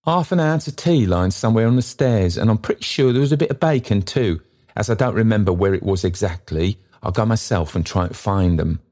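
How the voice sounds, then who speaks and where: A man voicing an excitable, kind-hearted old male porter who bustles about breathlessly and keeps repeating himself. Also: fairly low pitch, a little fast, voice pushed up, close to an everyday voice. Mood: fussy, sly.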